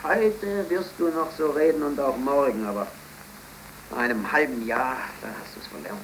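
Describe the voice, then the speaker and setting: A man's voice: medium pitch, normal speed, close to an everyday voice. In a noisy 1930s German radio play, an actor plays a bitter, despairing unemployed blacksmith in his twenties, theatrical and brooding. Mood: weary, brooding. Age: 20s.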